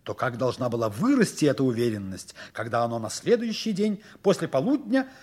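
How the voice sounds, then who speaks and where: A man's voice: medium pitch, big pitch swings, a little fast, a little mellow, slightly rough. A man giving dramatic, mock-solemn scripted narration for a 1960s Soviet radio play. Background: music.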